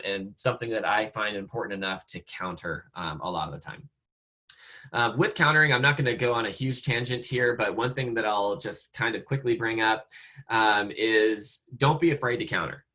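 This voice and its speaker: A man with an American accent, slightly hoarse, teaching real estate agents over a video call in a casual way, with lots of fillers and restarts. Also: fairly low pitch, moderate pace, sharp tone. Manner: conversational, many fillers and restarts. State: slightly hoarse, losing his voice. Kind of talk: online training talk.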